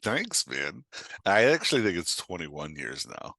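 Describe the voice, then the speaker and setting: A middle-aged man speaks in a low, crisp-edged voice with a very dry, close sound. He chats informally as a guest, rambling and joking along the way. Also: big pitch swings, a little slow, sharp tone, no room sound. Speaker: podcast guest, author and writing coach. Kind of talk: casual chat.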